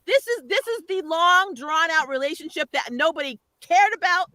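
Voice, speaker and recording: A woman's voice: very high-pitched, normal speed, sharp tone, dry recording. A woman hosting a casual, opinionated TV recap chat, emphatic and exasperated, often breaking into laughter. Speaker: host of a pop culture review show.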